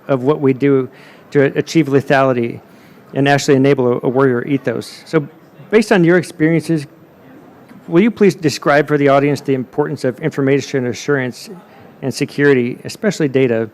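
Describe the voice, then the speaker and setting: A man's voice: mid-range voice, darkish tone. A man moderating a conference panel, speaking emphatically and often repeating words as he builds a point.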